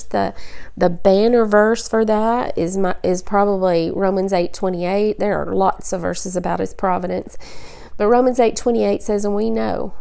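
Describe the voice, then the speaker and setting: A woman with a raspy voice, sick with the flu, teaching an earnest devotional Bible study and restating points for emphasis. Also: mid-range voice, dark tone.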